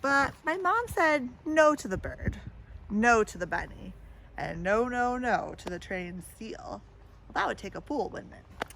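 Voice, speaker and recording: Female storybook reader, higher-pitched and very expressive, chatting to kids between lines; some background noise. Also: unhurried, vocal fry, rough recording. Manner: animated, addresses young listeners with questions and comments. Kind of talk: read-aloud children's picture book with asides to listeners.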